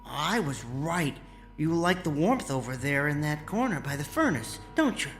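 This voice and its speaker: A man playing an excitable, kindly amateur inventor in a radio drama, stammering and folksy. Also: on the high side, moderate pace, faint music behind, rough recording. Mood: curious. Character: excitable, kindly amateur inventor, male former teleportation engineer.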